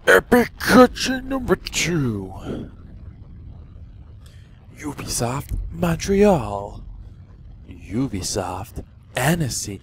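A man's voice: mid-range voice, a little hiss or hum. A man giving playful, silly reaction commentary over a video game. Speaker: gamer commentator.

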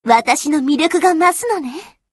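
A woman's voice: high-pitched, lively intonation, unhurried, dry recording, clean studio sound. A woman voicing a haughty, prickly young warship girl in an anime game, curt and scolding toward her commander. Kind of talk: Japanese anime game character lines.

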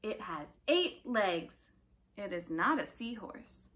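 A young woman reading a picture book aloud to small children in an expressive, dramatic way. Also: mid-range voice, slow, slight background noise. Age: young adult. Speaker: children's story time reader. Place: living room indoors, by a brick fireplace.

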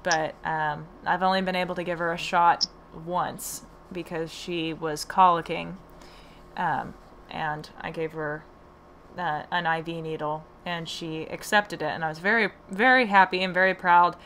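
Soft-spoken woman with faint hiss. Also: on the low side, steady tone, a little slow. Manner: hesitant, many fillers. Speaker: podcast host and horse owner. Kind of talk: casual conversation.

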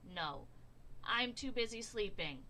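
Low drowsy badger guy, lady doing it, sly, storybook. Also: animated, slow pace, bright, forceful tone, a little hiss or hum, exaggerated voice. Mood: sleepy.

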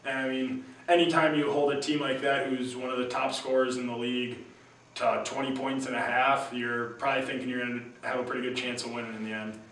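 A young man, a college basketball player, giving relaxed, casual postgame answers. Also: mid-range voice, fairly quick. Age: young adult. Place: small room at a team backdrop. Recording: very echoey room, distant mic, faint hiss.

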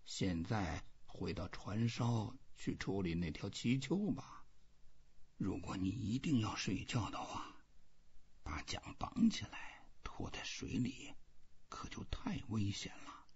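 A man voicing a weary, stubborn old fisherman in an audiobook, talking to himself slowly and half under his breath. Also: lowish voice, animated, darkish tone, husky, whispered, lo-fi sound, full character voice. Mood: resolute, musing.

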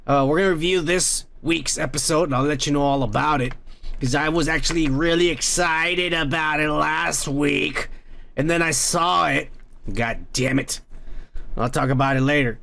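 A man's voice: on the high side, moderate pace, vocal fry, a bit bright. An American man hosting a podcast at home, rambling through viewer comments with lots of swearing and fillers. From United States. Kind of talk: casual profane commentary. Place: dim room at home.

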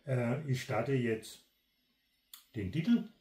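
Slow, halting older man in an echoey room. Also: mid-range voice, animated, dark tone. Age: 60s or older. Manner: halting, deliberate, with frequent hesitations. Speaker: presenter of a technical radio channel. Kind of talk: explanatory product demonstration. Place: small indoor room. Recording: echoey room, distant microphone.

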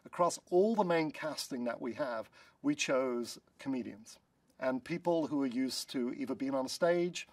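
A middle-aged man, a film producer, chatting in a press interview in a studio, relaxed and full of ums and uhs. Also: mid-range voice, dry recording, distant mic. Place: studio in front of a blue backdrop.

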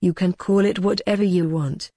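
A woman's voice: fairly low pitch, moderate pace, dark tone, no echo. A woman reading step-by-step instructions in a dry, matter-of-fact way. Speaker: tutorial narrator. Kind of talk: scripted narration.

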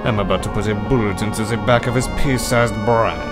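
A man's voice: fairly low pitch, steady tone, fairly quick, close to an everyday voice. A man voicing a treacherous, coolly professional spy with a condescending, theatrical manner in a fan-dubbed comic. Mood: smug. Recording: music behind the voice.

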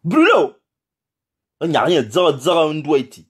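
A man's voice: a little high, animated, normal speed, husky. A man in his forties with a Gabonese French accent, addressing his fellow expatriates in an impassioned, lecturing phone message full of repetitions and rhetorical questions. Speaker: community speaker addressing the diaspora. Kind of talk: impassioned direct-to-camera message. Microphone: phone held close in selfie framing.

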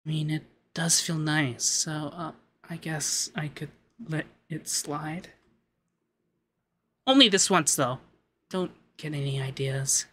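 A man voicing a haughty young femboy demon lord, pompous but easily flustered and secretly soft, in an ASMR roleplay. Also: fairly high pitch, takes it slowly, pauses often, a little hiss or hum. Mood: soft, content. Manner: pompous commands that slip into flustered cooing.

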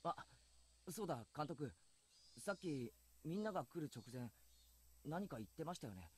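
An actor voicing an earnest, protective teenage schoolboy in a Japanese visual novel, informal in manner. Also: male voice, fairly high pitch, very animated, fast, husky. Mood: polite.

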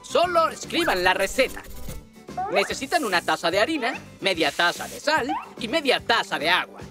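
A woman voicing a kind motherly figure explaining something to children in a dubbed cartoon, in a calm, instructive way. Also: on the high side.

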